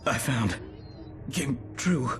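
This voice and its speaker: An actor playing a terrified, wounded man in a video game, gasping weakly in halting, broken phrases. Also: mid-range voice, expressive. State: gasping, weak, distressed. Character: terrified, badly wounded man pleading for help. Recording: music behind the voice. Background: clear background music.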